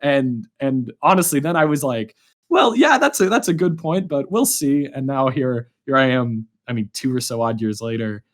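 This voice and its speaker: A man's voice: medium pitch, animated, normal speed. A man in his early twenties hosting a podcast in a casual, informal, rambling way. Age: early 20s. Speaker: podcast host.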